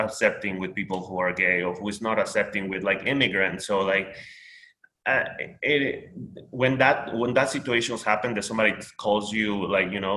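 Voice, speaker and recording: A man's voice: lowish voice, bright, forceful tone, reverberant, poor audio. A man from Peru with a Peruvian Spanish accent, Spanish his first language, talking in a rambling casual conversation full of fillers and restarts.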